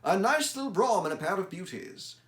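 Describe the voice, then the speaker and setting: A man voicing a brisk, self-assured male detective who speaks crisply and emphatically, with dry confidence. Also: high-pitched, animated, a bit bright, voice pushed up. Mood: brisk, commanding. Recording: echoey room.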